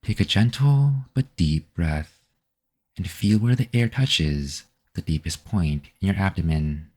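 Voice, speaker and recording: A man leading a guided meditation in a calm, measured, soothing manner. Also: low voice. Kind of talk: slow guided meditation narration.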